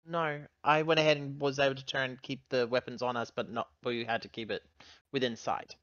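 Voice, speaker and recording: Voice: mid-range voice, level tone, fairly quick, a bit bright, no echo. Tabletop game player, casual conversational delivery, dry and slightly dull recording.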